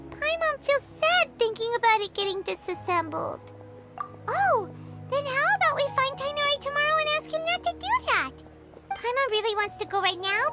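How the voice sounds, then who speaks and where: An actress voicing a tiny, chirpy, excitable girl companion in a video game who talks about herself in the third person. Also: extremely high pitch. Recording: game audio with loud music behind.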